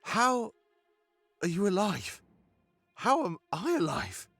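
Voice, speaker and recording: A man voicing a weak, confused male animal character waking from injury in a visual novel, halting and bewildered. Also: high voice, sing-song, creaky, a bit bright, soft background music, pitched above the performer's own voice, exaggerated voice. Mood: dazed, confused. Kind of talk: visual novel read-aloud.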